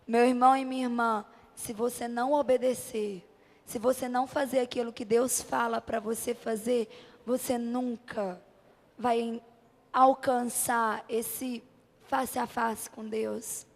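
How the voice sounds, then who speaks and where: A woman with a high voice and a Brazilian accent preaches with passion and emphasis. She leans on rhetorical questions and short repeated phrases. Her voice rings with the long reverb of a large hall. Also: flat delivery, unhurried. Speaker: preacher, church bishop. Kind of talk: impassioned youth-event sermon. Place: church event before a congregation.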